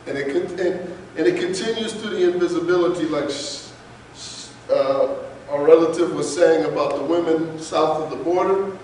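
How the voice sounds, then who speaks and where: A man lecturing with passion and emphasis, his voice pitched a little high for a man. He is picked up from far off, and the sound rings through a large, echoey hall. A faint hiss sits under it. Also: moderate pace, darkish tone. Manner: impassioned, emphatic, asks rhetorical questions. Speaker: guest speaker. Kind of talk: lecture to an audience.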